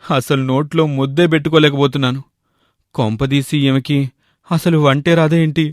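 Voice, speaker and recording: A man's voice: a little high, steady tone, normal speed, no echo, studio quality, lightly acted. A man playing a food-loving young husband and shopkeeper, grumbling yet tactful, in a cartoon moral story. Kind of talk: animated moral story dialogue.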